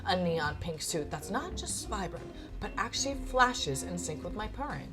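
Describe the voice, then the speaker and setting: A woman's voice: fairly low pitch, sing-song, moderate pace. A woman voicing a suave, theatrical, fashion-obsessed animal in a visual novel, with a drawling, affected, pompous delivery. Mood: grandly self-satisfied. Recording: music behind the voice.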